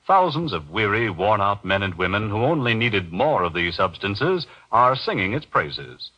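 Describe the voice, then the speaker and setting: A man announcing and pitching for the sponsor in 1940s radio style, polished and emphatic, on a muffled old recording. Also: sharp tone.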